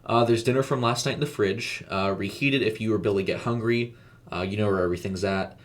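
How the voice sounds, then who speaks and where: A man's voice: on the low side, natural voice. A man as a harried suburban mother. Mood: frantic, distracted. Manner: hurried, hesitant, apologetically polite. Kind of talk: audio storybook character voice.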